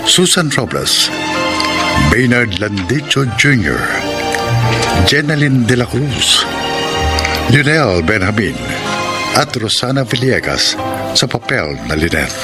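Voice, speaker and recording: A man announcing in a measured, solemn, dramatic scripted style for a radio drama. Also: mid-range voice, sing-song. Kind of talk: dramatic scripted closing narration and credits. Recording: loud music bed under the voice.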